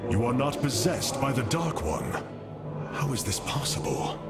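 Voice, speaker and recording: A man playing a grave, noble alien warrior leader in a video game, with formal, deliberate, regal delivery. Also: very animated, gravelly. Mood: stern, wary. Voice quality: deep, weighty. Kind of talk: video game cinematic acting. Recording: loud cinematic music underneath.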